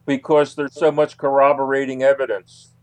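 A man speaks as a researcher being interviewed, in a mid-pitched voice with a dry sound. He talks conversationally but haltingly, often restating a phrase to drive it home. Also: flat delivery, dry recording, low-quality recording. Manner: halting, repeats phrases for emphasis.

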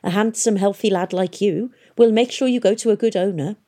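A woman's voice: mid-range voice, brisk, dark tone, voice pushed up, lightly acted. A woman voicing a cheerful, chatty male town boss who sounds regretful as he explains himself. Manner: genial, chatty, mock-regretful.

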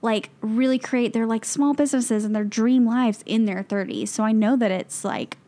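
A woman's voice: on the high side, fairly even intonation, moderate pace, warm tone. A woman in her thirties co-hosting a podcast, chatting casually and agreeing eagerly. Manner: chatty, agreeing, upbeat with many likes. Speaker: podcast co-host. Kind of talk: casual chat.

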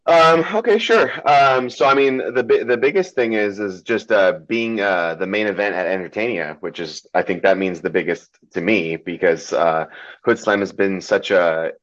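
A man who grew up in Germany talking about wrestling in a casual interview, halting and full of ums, often laughing. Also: on the low side, a bit bright. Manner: hesitant, many ums and restarts, laughs often. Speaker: pro wrestler guest. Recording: dull lo-fi sound.

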